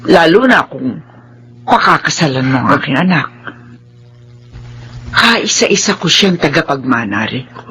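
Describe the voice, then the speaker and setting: A man's voice: fairly high pitch, big pitch swings, unhurried, long pauses, sharp tone, a little hiss or hum, full character voice. A man playing a doting, persuasive older mother who owns a resort, in a Filipino radio drama. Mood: grateful, coaxing.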